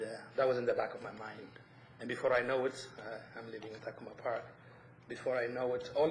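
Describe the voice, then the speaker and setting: Ethiopian guy speaking at a town meeting, earnest, bit halting, even tone, echoey. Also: mid-range voice. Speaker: resident applying for committee. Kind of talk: personal statement at public meeting. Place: indoor council meeting room. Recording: echoey meeting room, faint noise.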